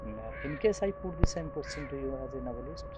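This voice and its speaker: A man, interviewing a writer with halting, hesitant questions full of fillers. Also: mid-range voice, wildly swooping pitch. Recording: dull lo-fi, noisy ambience.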